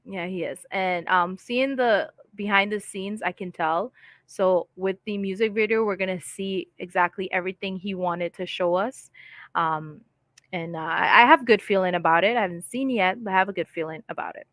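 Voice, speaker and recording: A woman's voice: medium pitch, moderate pace, a bit bright, dry recording. Chatty woman hosting a live stream. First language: English. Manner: chatty, reads viewer comments aloud and laughs often. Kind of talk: casual live-stream chat.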